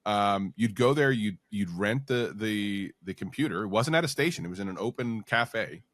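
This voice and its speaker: Lively low-voiced man hosting a podcast. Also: moderate pace, no room sound, clean studio sound. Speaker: podcast host. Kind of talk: casual interview chat.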